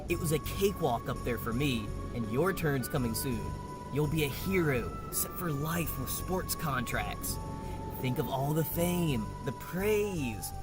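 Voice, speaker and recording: A man's voice: medium pitch, expressive, unhurried, over music, natural voice. A man playing a cocky, dismissive young athlete, slangy and domineering, in an audio drama. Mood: coaxing, smug. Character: cocky, dismissive young athlete bullying his nervous teammate.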